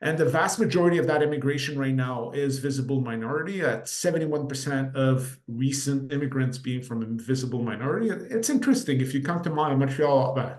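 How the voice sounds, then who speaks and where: Quebec French-accented male presenter, 40s, relaxed, filler-heavy, echoey office sound. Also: mid-range voice, brisk, hardly any pauses. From Quebec, Canada. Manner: relaxed, conversational, frequent "uh" fillers. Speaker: market data presenter. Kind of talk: webinar presentation. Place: office room. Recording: roomy echo.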